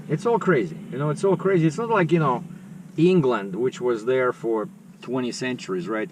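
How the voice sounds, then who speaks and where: Rambling man in his fifties. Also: mid-range voice. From Russia. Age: 50s. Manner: rambling, conversational, with many fillers. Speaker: vlogger. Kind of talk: casual chat to camera. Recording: slightly distant mic with faint background noise.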